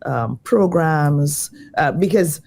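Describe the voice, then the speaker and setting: Croaky, lively woman design educator. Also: sits low, slow pace. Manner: lively, thoughtful, many fillers. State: croaky from jet lag. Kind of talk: interview answers.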